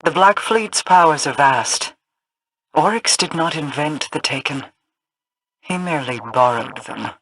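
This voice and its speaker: An actress playing a regal, commanding queen of a mystical people in a video game, speaking in a formal, measured manner. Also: low-pitched, animated, noisy background. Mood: measured, solemn.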